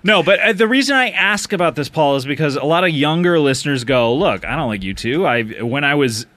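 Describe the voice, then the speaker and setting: A man's voice: fairly high pitch, lively intonation, fairly quick, a bit bright, dry recording. A man hosting a casual podcast chat, rambling and joking, laughing often. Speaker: podcast host.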